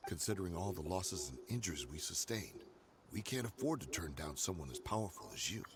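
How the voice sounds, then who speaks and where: An actor playing a grave, cautious veteran mercenary in a video game, speaking in a measured, serious way. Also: male voice, low voice, sing-song, a crowd in the background.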